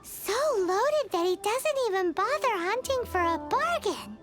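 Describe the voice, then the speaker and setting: A woman voicing a small, excitable childlike fairy companion with a squeaky voice in a video game. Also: unhurried, full character voice. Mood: flustered, indignant. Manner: exclamatory, puzzled, blunt. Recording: loud music behind the voice.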